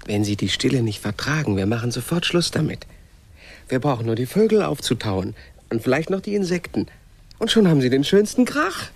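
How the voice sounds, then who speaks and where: An actor playing a dreamy, grumbling leader of planet settlers in a German radio play, theatrical and exclamatory. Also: male voice, mid-range voice, big pitch swings, a little fast. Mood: calm, reassuring.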